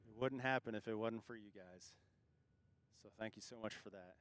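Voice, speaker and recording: A man's voice: fairly low pitch, normal speed. A man hosting a casual live stream, reading out viewer comments and thanking people effusively, often repeating himself. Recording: clear background noise, outdoor airport ambience.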